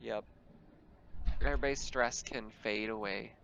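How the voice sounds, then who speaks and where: A man's voice: lowish voice, steady tone, unhurried, creaky, sharp tone, muffled recording, slight background noise. A man running a tabletop game as game master, mixing casual narration with banter among players.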